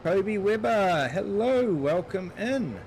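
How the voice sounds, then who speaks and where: A man's voice: sits high, expressive, takes it slowly, a little mellow, a little hiss or hum. A middle-aged man with a New Zealand accent, chatting casually on a live stream and greeting viewers by name between ums. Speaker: farming sim streamer.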